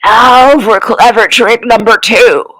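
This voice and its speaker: A woman voicing a sly, greedy male crocodile who gloats in a low hissing whisper. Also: steady tone, slow pace, fairly bright tone, no room sound, heavily acted, rough recording. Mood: scheming.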